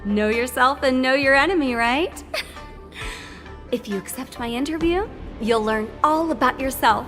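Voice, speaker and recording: Game role: inquisitive girl journalist, high voice, polite interviewer patter, faint music bed. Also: a bit bright, exaggerated voice. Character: eager, nosy young female event journalist. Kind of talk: video game voice acting.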